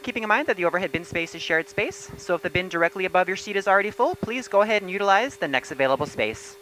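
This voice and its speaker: Female flight attendant, rapid scripted welcome speech over a cabin PA, faint noise behind. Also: on the low side, lively intonation, fairly bright tone, poor audio. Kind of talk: scripted inflight welcome announcement. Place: inside airliner cabin.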